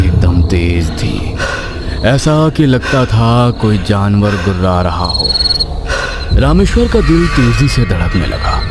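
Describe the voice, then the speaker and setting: A man reading a scripted horror story as a suspense narrator. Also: on the low side. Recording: loud night ambience with crickets.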